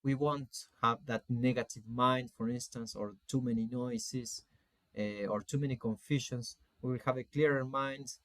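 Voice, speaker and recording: A man in his forties from Argentina with an Argentine Spanish accent gives an informal online lecture as a coach, phrasing things in a halting, deliberate way. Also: medium pitch, fairly even intonation, a bit bright, no room sound. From Salta, Argentina. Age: 40s. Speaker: spiritual coach teaching an online course.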